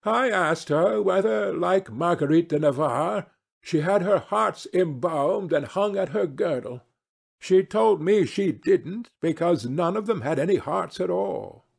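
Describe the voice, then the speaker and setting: A young society gentleman, acted out by a male narrator in an audiobook. He is flippant and affected, with a high, animated pitch and slightly husky tone. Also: a little slow, full character voice. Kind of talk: audiobook character voice.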